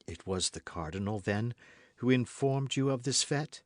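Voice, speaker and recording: A man's voice: medium pitch, lively intonation, normal speed, no echo, clean studio sound, close to an everyday voice. A man voicing a frightened queen in an audiobook, stammering and imploring. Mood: fearful. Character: frightened, pleading queen, adult woman. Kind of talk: audiobook character reading.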